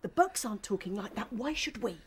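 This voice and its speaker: Quick, spirited doe rabbit, played by a woman. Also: medium pitch, expressive, slight background noise, lightly acted. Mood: defiant. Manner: brisk, scolding, resolute. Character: spirited, brave female rabbit. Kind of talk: radio drama acting.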